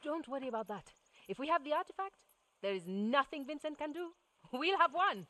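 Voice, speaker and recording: An actress playing a resolute, clever crew leader in a video game, explaining things with measured authority. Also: female voice, high-pitched, lively intonation, pushed and bright, no room sound. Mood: insistent. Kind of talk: video game cutscene acting.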